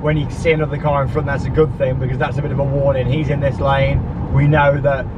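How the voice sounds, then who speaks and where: A man's voice: mid-range voice, level tone, quick pace, lo-fi sound. A British man, probably in his thirties, narrating a travel vlog in a casual, chatty way and repeating himself. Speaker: travel vlogger. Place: varies: open car park, moving car, hotel room. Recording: clear background noise, car and outdoors.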